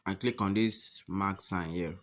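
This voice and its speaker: A man's voice: low-pitched, fairly even intonation, a little slow. A man narrating a step-by-step app tutorial, often repeating his phrases and instructions. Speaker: tutorial host.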